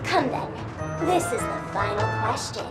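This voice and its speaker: A woman's voice: on the high side, animated, a little slow, loud music behind the voice. A woman voicing a mischievous young female trickster in a video game, teasing and showy like a stage announcer.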